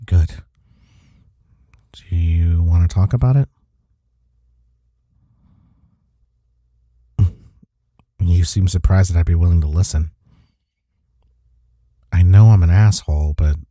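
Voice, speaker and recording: Man acting as caring gruff workplace rival, audio roleplay, husky, soft-spoken, intimate, close dry sound. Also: low-pitched, dry recording. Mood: gentle concern. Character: gruff but caring male office rival, secretly admiring.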